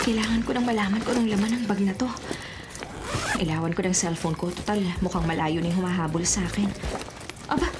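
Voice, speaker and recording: A woman's voice: fairly high pitch, background noise, close to an everyday voice. An actress playing a cheeky, streetwise thief in her twenties in a radio drama, breezy and slangy. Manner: breezy, slangy, self-mocking. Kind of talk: radio drama acting, inner monologue. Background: loud dramatic music.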